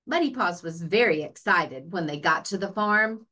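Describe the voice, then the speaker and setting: A woman reading a children's story aloud as a librarian, expressive and deliberate. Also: on the low side.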